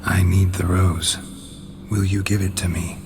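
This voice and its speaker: Game cutscene: weary hunter, clipped and low, long reverb around him. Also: male voice, little pitch movement, measured pace, creaky. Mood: terse. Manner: low, terse, half-whispered. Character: gruff weary monster-hunter man. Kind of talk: video game cutscene voice acting. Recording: reverberant with background noise.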